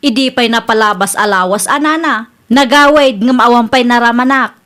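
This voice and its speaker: A sharp-tongued, resentful wife played by an actress in an Ilocano Filipino radio comedy drama. She has a very high voice and a scolding, complaining delivery. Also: normal speed, lightly acted.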